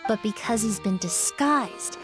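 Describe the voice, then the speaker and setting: An actress playing a calm, clinical young woman expert who explains in a measured way, in an anime game. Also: mid-range voice, natural voice. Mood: calm, assured. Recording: game music behind the voice.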